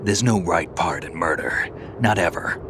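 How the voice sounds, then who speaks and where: Deep gruff stoic veteran soldier. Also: male voice, measured pace, noisy background. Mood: grave, resolute. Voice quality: gravelly, gruff. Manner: terse, dry, unflappable. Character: gruff, stoic veteran male soldier, world-weary mentor. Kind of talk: video game cutscene voice acting.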